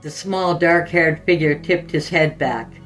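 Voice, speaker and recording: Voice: medium pitch, unhurried, a bit bright, low-quality recording. Narrator reading fiction over music. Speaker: podcast host and story reader.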